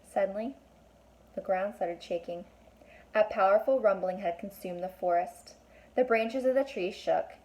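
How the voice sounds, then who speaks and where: A woman's voice: medium pitch, unhurried, darkish tone, poor audio. A woman reading a children's book aloud as a teacher would, stopping to explain and put questions to young listeners.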